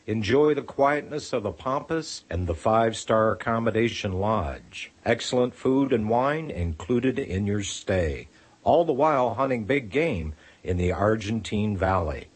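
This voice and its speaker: A man reading a radio ad script in a measured, inviting way. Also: lowish voice. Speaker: ad announcer.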